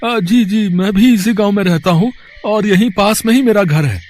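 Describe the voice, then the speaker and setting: Man acting a humble, high-voiced village husband. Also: moderate pace, darkish tone, lightly acted. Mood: hesitant, humble. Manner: hesitant, pleading, respectful. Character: humble, earnest poor village husband seeking work. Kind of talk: acted cartoon story dialogue.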